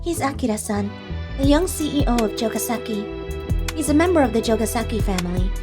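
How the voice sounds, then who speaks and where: A high, girlish voice over background music, a woman playing a timid young part-timer in a manga drama. She is meek and earnest, narrating her dreams in a scripted way and growing hesitant when she has to answer others. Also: expressive, brisk, natural voice. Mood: confiding. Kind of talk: scripted first-person manga dub narration.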